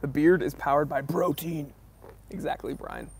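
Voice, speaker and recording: Gravelly-voiced man hosting a casual Q&A. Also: a little high, moderate pace, darkish tone, a little hiss or hum. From New England, US. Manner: reads viewer comments aloud, answers in a friendly easygoing way. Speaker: host of a watch channel. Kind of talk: casual livestream Q&A.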